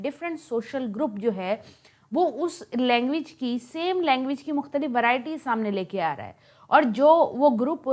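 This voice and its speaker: A woman from Pakistan with a Pakistani Urdu accent, explaining linguistics notes as a lecturer, repeating points with examples and slipping in English terms. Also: on the high side.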